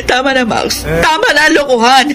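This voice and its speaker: Woman acting a hurt filipina wife in a radio drama, high tearful voice, music playing behind her. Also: brisk, natural voice. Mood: anguished, accusing. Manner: tearful, emotional, pleading delivery. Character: hurt, weary wife ending her loveless marriage. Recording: loud music under the voice.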